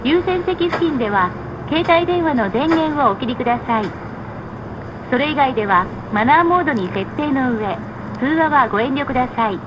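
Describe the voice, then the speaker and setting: High-pitched woman making muffled bus announcements. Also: expressive. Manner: measured, formal, polite. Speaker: recorded bus stop announcer. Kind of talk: scripted announcement. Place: played over speakers inside a moving bus. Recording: muffled, noisy recording inside a bus. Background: bus engine and road noise.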